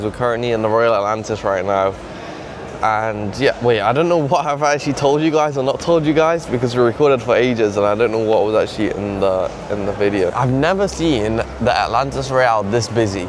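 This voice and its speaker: A man's voice: medium pitch, noisy background. A man in his twenties chatting casually to viewers in a vlog, recorded from the back seat of a car and in a mall. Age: 20s. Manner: chatty, talks straight to viewers. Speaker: vlogger.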